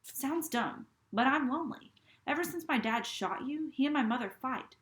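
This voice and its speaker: A woman's voice: high-pitched, lively intonation, moderate pace, fairly bright tone, reverberant, voice pushed up. Woman voicing a nervous young girl. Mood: sad, earnest.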